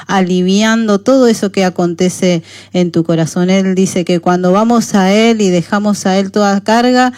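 A woman's voice: mid-range voice, normal speed, a little mellow, no room sound, clean studio sound. A woman hosting a devotional radio programme, speaking in a devout, encouraging way and often checking in with short tag questions. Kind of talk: devotional radio talk with listener messages and prayer. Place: radio studio.

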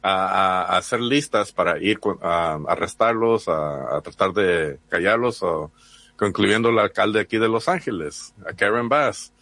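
A man's voice: sits low, measured pace, fairly bright tone, dry recording. A man from the United States with a Mexican accent, a reporter answering interview questions hesitantly, with many fillers and restarts.

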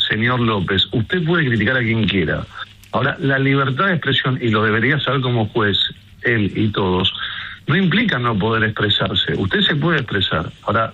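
Indignant Argentine man, bright and muffled. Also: medium pitch, a little hiss or hum. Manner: indignant, emphatic, rhetorical. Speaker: interview guest. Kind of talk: emphatic opinion in a radio interview.

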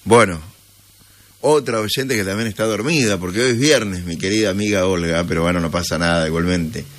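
Argentine man, morning radio host, low voice, a bit sleepy, unhurried casual chat. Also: fairly bright tone.

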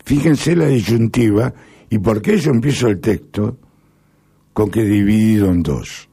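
Man, Argentine accent, middle-aged, slow delivery, dark tone, husky, rambling and emphatic. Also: fairly low pitch, animated. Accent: Argentine Spanish. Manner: rambling, halting, with sudden emphatic rises. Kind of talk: casual spoken monologue.

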